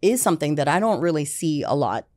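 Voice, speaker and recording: A woman's voice: deep voice, sing-song, quick pace, a little mellow, dry recording. A woman in her forties, a film music executive, chatting casually as an interview guest with many fillers, on a podcast mic in a studio. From United States. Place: dark studio set, seated in armchair. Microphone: podcast mic on boom arm.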